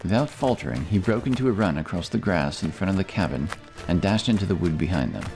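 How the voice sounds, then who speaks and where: A man's voice: deep voice, animated, fast, vocal fry. A man narrating a scripted fantasy story as a storyteller. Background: music.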